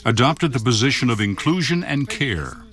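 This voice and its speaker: Man, documentary narrator, mid-pitched voice, measured scripted delivery. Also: big pitch swings, fairly bright tone.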